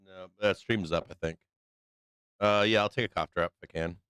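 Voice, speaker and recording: A hoarse Midwestern American man in middle age, talking low and loose on his live trading stream. His worn voice still comes through very clean and close on a dry, tight mic. He rambles, swears freely and leans on ums. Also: fairly even intonation, normal speed, fairly bright tone, no room sound. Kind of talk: casual profane live-stream chat.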